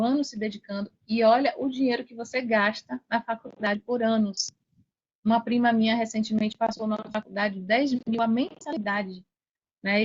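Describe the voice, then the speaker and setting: High-voiced Brazilian woman in her forties. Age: 40s. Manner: chatty, frequent tag questions seeking agreement. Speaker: podcast guest, affiliate marketer. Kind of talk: conversational personal storytelling. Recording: dull lo-fi sound.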